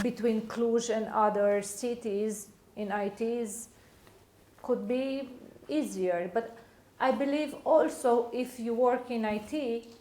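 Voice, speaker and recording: A woman speaking from the floor of a lecture, halting and full of fillers. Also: mid-range voice, dark tone, a little hiss or hum. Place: lecture hall. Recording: echoey hall.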